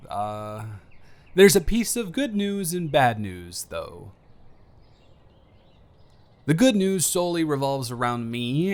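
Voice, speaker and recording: Man acting a nervous, expressive young confessor. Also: a little high, smooth. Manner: rambling, emotional, halting and emphatic. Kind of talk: intimate scripted audio roleplay.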